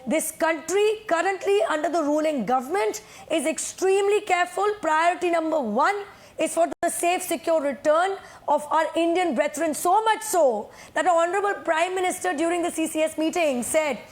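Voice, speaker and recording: A woman with a very high voice argues on a television news panel. She presses her points insistently over interruptions, and her voice is getting hoarse. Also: normal speed. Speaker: political panelist. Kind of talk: heated TV news debate.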